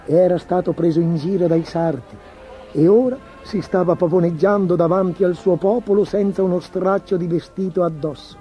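A man's voice: sits high, fairly even intonation, normal speed. A man narrating a scripted fairy tale for children as a storyteller. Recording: dull, muffled lo-fi track. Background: music.

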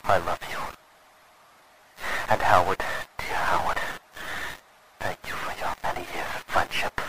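An actor playing a desperate, devoted man recording a last message, speaking gravely and haltingly in radio drama style. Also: sits low, flat delivery, background noise. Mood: tender sorrow. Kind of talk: golden age radio drama acting.

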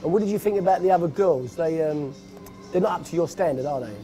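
Male interviewer, rapid and easygoing, warm dark tone. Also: a little high, faint music behind. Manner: chatty, agreeable, keeps saying yeah. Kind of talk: casual interview. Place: boxing gym, indoors.

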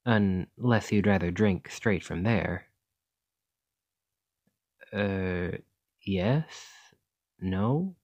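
A man playing an earnest, chatty scholar in an ASMR roleplay, speaking gently and hesitantly as he rambles and interrupts himself. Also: deep voice, drawn-out words, pauses often, no room sound, highs cut off, exaggerated voice. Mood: gently concerned. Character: earnest, rambling male scholar gently reassuring a captive creature.

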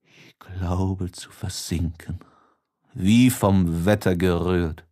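A man's voice: low voice, steady tone, slow pace, pitched below the performer's own voice. A man voicing a lovestruck, tormented young letter writer in an audiobook, impassioned and given to sighs. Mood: yearning, tormented. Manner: impassioned, sighing, swinging between hush and exaltation.

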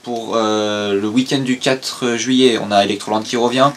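Brief: young male co-host, mid-pitched voice, informative and steady, slight background hiss. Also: moderate pace. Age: young adult. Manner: informative, steady, explains announcements. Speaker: co-host, son. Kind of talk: casual news chat. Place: room with shelves of toys and merchandise.

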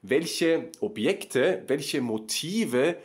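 A man giving a relaxed language lesson, speaking slowly and clearly, often rephrasing and acting out sample questions for learners. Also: fairly high pitch. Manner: repetitive, rephrases often, acts out example questions. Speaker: German teacher. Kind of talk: slow explanatory lesson for learners.

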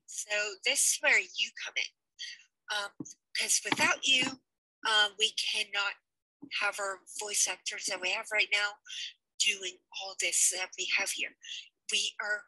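A woman's voice: medium pitch, steady tone, slow, bright, forceful tone, slightly rough, no room sound, poor audio. A young woman talking casually over a headset on a video call from a small room at home, rambling and hesitant with many fillers. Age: young adult. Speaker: let's play project organizer. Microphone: headset mic on video call.

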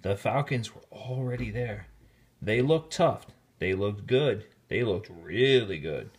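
Animated man reading a children's storybook. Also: on the low side, husky. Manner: expressive, deliberate storytelling delivery. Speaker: storybook reader, teacher. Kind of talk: read-aloud children's story narration with casual asides. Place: indoors, filming the book held in hand.

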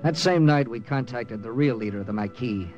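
A man's voice: mid-range voice, fairly quick, lo-fi sound, natural voice. An actor playing a tough, terse wartime secret agent captain in a clipped, dramatic 1940s radio style, narrating his mission. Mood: steady. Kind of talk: 1940s radio acting, hard-boiled first-person narration. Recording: old radio recording with music.

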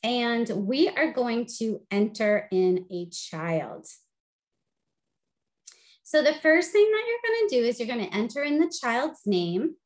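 A middle-aged woman with a high-pitched voice, teaching a webinar over a video call with an upbeat, friendly delivery full of "um"s. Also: sing-song, slow. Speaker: presenter and teacher. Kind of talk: instructional webinar presentation. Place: home office, video call.

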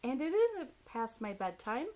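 A woman's voice: high-pitched, sing-song, unhurried, dark tone, voice pushed up. A woman voicing a small, defiant baby owl in a children's storybook, emphatic and childlike as he insists he is fearless. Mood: indignant. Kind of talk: children's picture book read-aloud.

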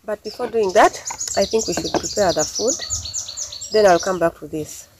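Ugandan woman, young adult, outdoor cooking vlog, plain step-by-step talk, camera mic with clear background noise. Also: medium pitch, normal speed. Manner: explains each step plainly, talking to viewers while working. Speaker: village cooking vlogger. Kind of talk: casual cooking demonstration. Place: outdoors by a wood fire among banana plants. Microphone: camera on the ground, distance changes as she moves. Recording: noisy outdoor camera audio.

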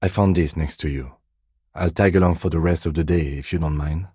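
A middle-aged male police lieutenant for a video game, with a low, husky voice recorded close and dry. He is dignified and restrained, choosing words precisely and keeping a deadpan calm. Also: fairly quick, dry recording. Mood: quietly concerned. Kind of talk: video game character dialogue.

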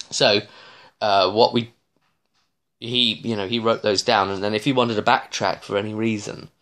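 A man in his thirties giving a casual, rambling recap as a game master, with halting, digressive phrasing and frequent restarts. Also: lowish voice, steady tone, a bit bright. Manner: halting, digressive, often restarting mid-sentence. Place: small living room, indoors.

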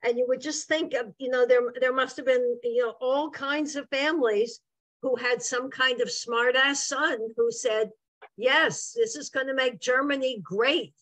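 A woman's voice: sits high, fairly even intonation, unhurried, fairly bright tone, no echo, poor audio. An older woman on a video call from home, enthusiastic and stumbling over her words. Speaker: discussion participant. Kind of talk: casual chat on a video call. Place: home room.

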